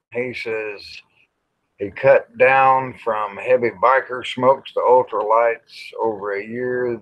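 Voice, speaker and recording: An American man, middle-aged or older, hosting a casual, rambling livestream chat in a folksy, plain-spoken way with some mild cussing. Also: mid-range voice. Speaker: livestream host. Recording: dull, lo-fi livestream audio.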